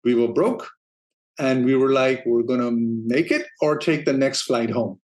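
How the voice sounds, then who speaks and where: A man's voice: medium pitch, a little slow. Danish-born man telling his career story. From Denmark. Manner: relaxed storytelling, laughs easily, frequent restarts. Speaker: guest, streaming operations manager. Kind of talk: casual interview talk.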